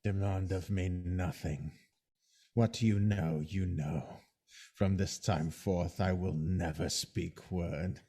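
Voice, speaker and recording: Man acting very deep, gravelly, menacing villain. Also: steady tone, dark tone, full character voice. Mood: cold defiance. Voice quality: gravelly, husky. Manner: clipped, menacing, deliberate. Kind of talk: Shakespearean audio drama acting.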